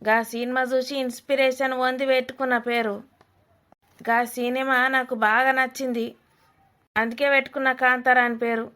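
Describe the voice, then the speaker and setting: Woman playing an older village mother-in-law, Telangana Telugu accent, high voice, sharp-tongued, nosy, rustic scolding, cartoon comedy. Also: little pitch movement, a little fast. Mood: gruffly suspicious. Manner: blunt, scolding, mocking, rustic.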